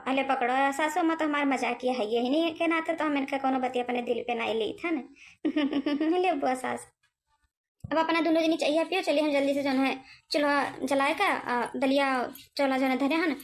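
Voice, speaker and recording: A woman with an eastern Uttar Pradesh accent voicing rustic cartoon comedy dialogue in a rambling way, full of repeated filler phrases. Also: sits high. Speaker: cartoon voice performer. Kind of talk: dialect comedy cartoon dialogue with folk singing.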